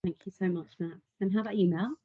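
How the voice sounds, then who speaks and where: A woman's voice: mid-range voice, fast talker, slightly rough, no room sound, narrow, tinny audio. A woman hosting an online panel, upbeat as she thanks and introduces each speaker. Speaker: panel host. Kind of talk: moderating a panel.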